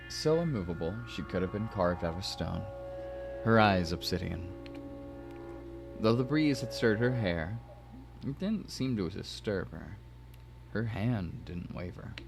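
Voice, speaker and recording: A man's voice: low-pitched, animated, measured pace, creaky, pauses often. A man narrating a story aloud, slipping in playful asides. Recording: music behind the voice.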